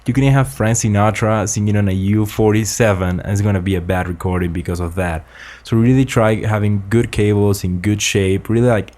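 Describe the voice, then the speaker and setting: Young man, earnest home-studio tutorial, low voice, close condenser mic. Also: darkish tone. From Ecuador. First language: Spanish. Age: young adult. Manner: earnest, explanatory, slightly halting English. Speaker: student making a tutorial. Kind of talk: casual spoken tutorial. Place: small home studio room, indoors. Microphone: large-diaphragm condenser with pop filter, close.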